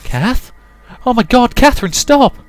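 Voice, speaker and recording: A man's voice: very high voice, sing-song, unhurried, dry recording, soft background music, voice pushed up, full character voice. A man voicing an anxious, caring young woman who pleads urgently with her friend in a visual novel. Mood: alarmed, urgent.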